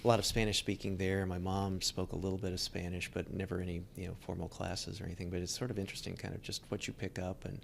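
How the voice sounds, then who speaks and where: A man from Texas hosting a casual interview in an informal, appreciative, halting way. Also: low-pitched, level tone, creaky, a little hiss or hum. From Dallas, Texas, United States. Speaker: interview host.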